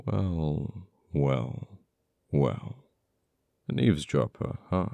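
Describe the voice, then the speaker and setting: A heavily creaky, dark male voice , acted by a man, plays a cold, uptight official in an audio roleplay. He taunts a captured former friend slowly, with deliberate dramatic pauses. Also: a bass voice, lively intonation, no room sound, heavily acted. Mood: cold teasing.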